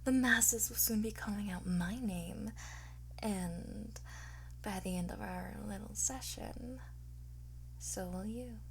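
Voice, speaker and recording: A woman playing a flirtatious, boastful master thief in an ASMR roleplay, soft and often whispering, theatrical and smug. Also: mid-range voice, slow, vocal fry, noisy background. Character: teasing, boastful female master thief under interrogation.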